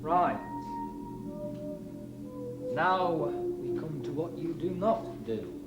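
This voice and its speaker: Bossy teacher, high voice, swoops around, slow, roomy sound. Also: male voice, lively intonation, over loud music. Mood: lecturing. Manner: bossy, listing rules point by point. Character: brisk male schoolteacher briefing a boys' team. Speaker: schoolteacher. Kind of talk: 1980s TV drama acting.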